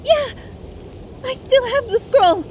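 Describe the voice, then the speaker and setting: A woman playing a tiny, plucky, excitable fantasy creature with a squeaky delivery in an audio drama. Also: takes it slowly, slight background noise, exaggerated voice. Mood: urgent.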